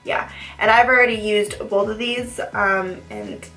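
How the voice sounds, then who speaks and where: A young woman chatting through a makeup tutorial with a head cold, sniffly and a little raspy, rambling and repeating herself. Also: mid-range voice, fairly even intonation, unhurried, bright, forceful tone, faint music behind, poor audio. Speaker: beauty vlogger. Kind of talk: casual makeup tutorial chat.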